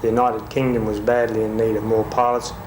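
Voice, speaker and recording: A man's voice: fairly low pitch, level tone, a little fast, darkish tone. A man giving an explanation as an interviewed expert. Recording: roomy, distant mic, faint background noise.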